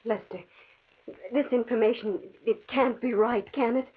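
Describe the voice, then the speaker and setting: A woman's voice: fairly high pitch, fairly even intonation, moderate pace, breathy edge. An actress playing an anxious, principled young woman, earnest and dramatic in a 1940s radio drama. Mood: uneasy, stunned. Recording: tinny, band-limited old radio recording.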